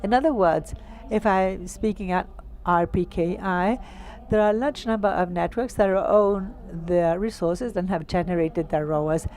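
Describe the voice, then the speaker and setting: Colombian-accented woman, lowish voice, explanatory panel answer. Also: a little slow, darkish tone, slight background noise. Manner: explanatory, piling up examples. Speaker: panelist answering audience questions.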